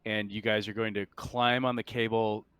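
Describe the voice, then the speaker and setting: A man acting as game master for a tabletop roleplaying game, talking casually and a little haltingly. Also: lowish voice, flat delivery, fairly bright tone, clean tone, no room sound.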